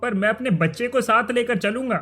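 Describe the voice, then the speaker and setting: A woman acts out a humble village hunter in a cartoon tale. The voice is high, polite and questioning, with music behind it. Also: a little fast, a bit bright, natural voice. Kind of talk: Hindi cartoon story acting. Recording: music under the voice.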